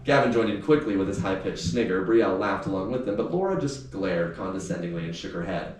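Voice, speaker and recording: Guy reading a story out loud, kinda low voice, super roomy sound, bit of noise. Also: lively intonation, fairly quick. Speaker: author reading aloud from a novel. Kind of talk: expressive audiobook-style read-aloud of youth fiction. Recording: echoey room, distant mic, faint noise.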